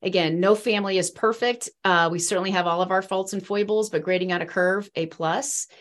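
A woman's voice: medium pitch, steady tone, a little fast. A woman in her forties from the Midwest, sharing her faith story as a podcast guest in an earnest, rambling way with many fillers. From East Central Illinois. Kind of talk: faith testimony in a podcast interview.

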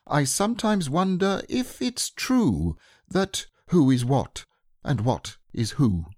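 A man reading aloud and voicing a simple, gentle male teddy bear who muses slowly and hesitantly. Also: medium pitch, dry recording, studio quality, pitched above the performer's own voice, heavily acted. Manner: slow, musing, hesitant.

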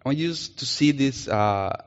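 Colombian man, Spanish native, slow interactive preacher; long hall reverb. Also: medium pitch, animated. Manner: playful, coaxes answers from the congregation. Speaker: missionary preacher. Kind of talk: interactive sermon. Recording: muffled sound in a reverberant hall.

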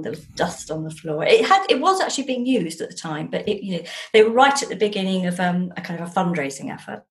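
An English woman, an author being interviewed over a video call, telling anecdotes in a chatty, discursive and self-deprecating way. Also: fairly low pitch.

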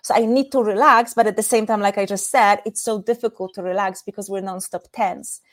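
Polish-accented woman in her thirties, podcast guest, calm and earnest, walks listeners through exercises, medium pitch. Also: fairly quick. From Poland. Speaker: intimacy coach guest. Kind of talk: podcast interview.

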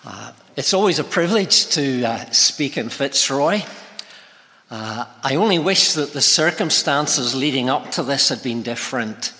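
A man preaching a sermon as a guest speaker, with a measured, deliberate delivery and emphatic phrasing. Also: medium pitch, lively intonation, pushed and bright. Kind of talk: sermon with prayer. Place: large reverberant church hall. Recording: long hall reverb.

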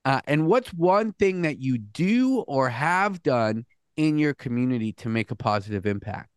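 A man's voice: mid-range voice, big pitch swings, moderate pace, clear, resonant tone, no room sound, studio quality. A man hosting a podcast interview, earnest and chatty, with frequent restarts and "mm" sounds. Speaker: podcast host. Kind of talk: casual interview chat.

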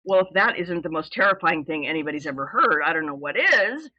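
A woman in her seventies from Southern California, telling a personal story as a podcast guest in a loud, exuberant way. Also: fairly low pitch, animated, a little fast, no room sound, muffled recording. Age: 70s.

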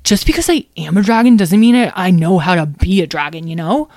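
Woman as an excitable, cheeky young dragon. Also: on the low side, a little fast, no room sound, studio quality, voice pushed up. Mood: chirpy. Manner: casual, exaggerated, youthful slang.

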